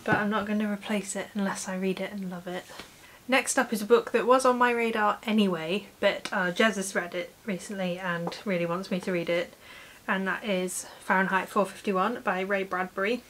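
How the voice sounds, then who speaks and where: A woman's voice: mid-range voice, fairly even intonation, moderate pace, a bit bright. A woman in her late twenties with a British accent, chatting casually and informally to camera as a book vlogger in a room at home. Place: small home room with bookshelves. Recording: clear background hiss.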